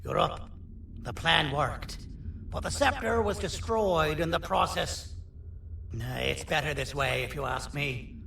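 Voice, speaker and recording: A man voicing an eccentric, boastful monster doctor in a video game, talkative and theatrical with a gravelly voice. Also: fairly high pitch, measured pace, sharp tone, heavily acted. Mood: relieved, weary. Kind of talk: video game cutscene acting. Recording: roomy, distant, noisy game audio.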